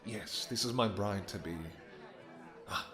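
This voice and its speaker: A man playing a possessive, lovestruck vampire king in a romantic fantasy audio roleplay, speaking in formal courtly phrases with halting pauses. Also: lowish voice, music in the background, a crowd in the background, close to an everyday voice. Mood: regal, gracious.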